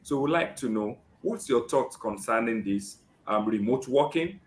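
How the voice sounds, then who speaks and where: A Nigerian man hosting a live job seeker interview, often saying "great" and filling pauses with "um". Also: mid-range voice, lively intonation, roomy sound, slight background noise. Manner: keeps saying "great" and fills with "um".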